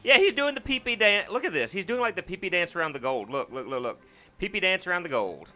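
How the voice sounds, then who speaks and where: Male gaming host, very bright and clear, casual joking rambler. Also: high voice, lively intonation, fast. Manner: rambling, joking, repeats words. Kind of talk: casual let's play commentary.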